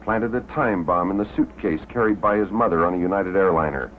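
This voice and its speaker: An American man narrating a 1950s promotional documentary in a measured, deliberate way with dramatic pauses. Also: sits low, slight background noise. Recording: tinny old film soundtrack, faint music.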